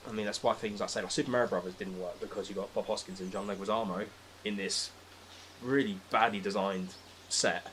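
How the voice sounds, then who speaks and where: A man's voice: fairly low pitch, fairly even intonation, brisk, fairly bright tone, a little hiss or hum, low-quality recording. A British man in a casual, opinionated film discussion, talking emphatically with exasperated, sweary rants. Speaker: podcast speaker.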